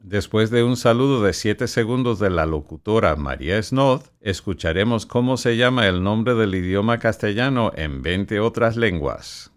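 A man whose first language is English, hosting his own podcast in a measured, announcer-like way with sponsor reads. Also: on the low side, expressive. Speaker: podcast host and director.

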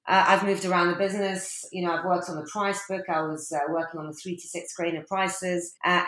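A woman's voice: lowish voice, fairly bright tone, roomy sound, poor audio. A woman in her forties, originally from an Algerian family and raised in London, talking fluently as an interview guest, with frequent small fillers. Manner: fluent, measured, with frequent "uh" and "you know". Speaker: guest, diamond company executive. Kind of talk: conversational interview.

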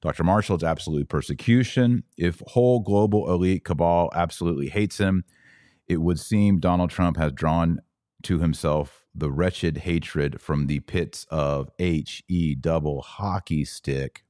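American man, podcast host fielding live comments; very low voice, dry close-mic sound, conversational and questioning. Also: measured pace, darkish tone, no room sound. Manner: conversational, poses rhetorical questions, thinks aloud. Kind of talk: live commentary, reading and answering viewer comments.

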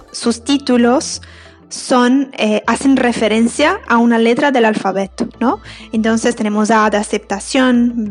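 A woman from Brazil with a Brazilian Portuguese accent, an author talking about her writing in a slow, thoughtful way with frequent pauses and fillers. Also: on the high side. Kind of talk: interview, self-introduction and reading.

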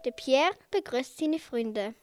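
A woman's voice: sits high, lively intonation, slow, no room sound, studio quality. A female amateur narrating a children's radio play in a simple, recited way. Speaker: narrator and player.

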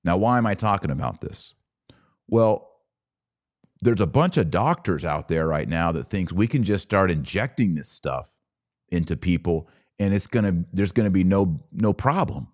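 A middle-aged man with an American accent giving an informal podcast monologue, telling personal stories emphatically with dramatic pauses on key words. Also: low voice, expressive, a little mellow. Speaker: podcast host.

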